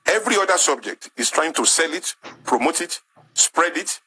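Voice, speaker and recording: A man's voice: a little high, animated, moderate pace, bright, forceful tone, a little hiss or hum. A man with a Nigerian English accent making an impassioned talk-show argument, emphatic and rhetorical, repeating his key phrases. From southwest Nigeria.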